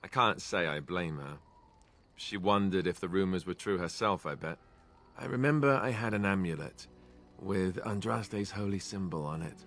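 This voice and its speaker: An actor playing a wry, self-deprecating young male warrior in a video game, sarcastic and quick to deflect with jokes. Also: low-pitched, a bit bright, soft background music. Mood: regretful. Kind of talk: video game character dialogue.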